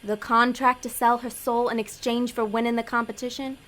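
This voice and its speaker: A woman plays a sharp-tongued lady demon in an audio drama. Her voice sits fairly high, and she scolds and schemes in blunt street slang. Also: level tone, moderate pace, close to an everyday voice. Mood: impatient.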